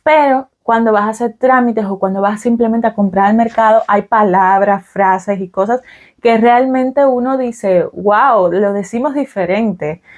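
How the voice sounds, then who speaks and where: A woman's voice: mid-range voice, measured pace, a little mellow, muffled recording. A young adult woman from Cuba with a Cuban Spanish accent, talking casually to camera in a vlog, explaining with fillers and "ok?" check-ins. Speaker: vlogger.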